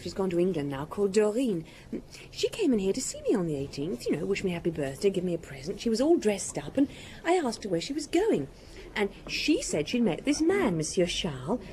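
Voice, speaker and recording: A woman's voice: mid-range voice, dark tone, close to an everyday voice. An actress playing a cheeky, good-hearted young Parisian bar girl in a radio drama, chattering in gossipy run-on sentences.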